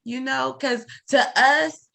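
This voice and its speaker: A woman of thirty hosting a casual podcast chat, talking with lots of "like" and quick laughs. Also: high voice, steady tone, gravelly, fairly bright tone. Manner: chatty, many "like" fillers, quick laughs. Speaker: podcast host.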